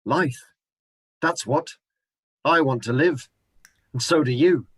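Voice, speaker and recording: A man's voice: on the high side, takes it slowly, husky, no echo. An actor playing a hardened ex-soldier who has survived an invasion, talking in a brooding, intense way as he lays out his plans in a radio drama. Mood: fervent. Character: hardened male ex-soldier survivor, scheming and grim. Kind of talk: amateur radio drama acting. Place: home room, recorded remotely.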